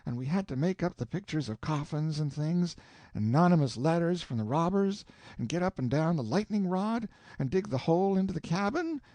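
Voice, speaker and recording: Rich: An eager, bragging country boy, played by a male reader with a lively, bouncing delivery a little above his usual voice. The sound is dry and close, with a mellow, darkish tone. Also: high voice, no room sound, voice pushed up. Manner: eager, boastful. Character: excitable boastful young country boy.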